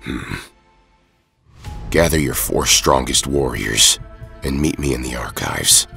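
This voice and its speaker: A man acting in an audio drama as an earnest hero and his cold tyrant double, with a gravelly, husky voice. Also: very deep voice, sing-song, takes it slowly, pushed and bright, soft background music, heavily acted. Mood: cold, commanding.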